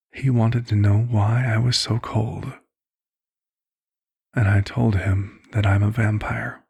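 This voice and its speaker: Man playing a gravelly, compassionate vampire doctor. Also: lowish voice, heavily acted. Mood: grave, tender. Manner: measured, formal, hushed storytelling. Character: compassionate old vampire doctor, fatherly, weary, gently persuasive. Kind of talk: ASMR roleplay monologue.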